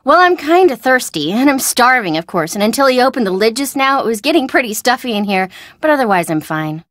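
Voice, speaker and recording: An actress playing a chatty, excitable young woman in video game dialogue, informal and prone to babbling. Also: on the high side, brisk, dry recording. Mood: relieved.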